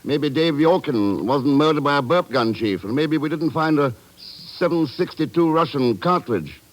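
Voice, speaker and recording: An actor in a vintage radio drama playing a cool, shrewd secret agent, composed, dry and clipped. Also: male voice, expressive, moderate pace, natural voice. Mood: assured. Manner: clipped, composed, dryly questioning. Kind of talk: 1940s-50s radio drama acting. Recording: noisy vintage radio recording, engine rumble.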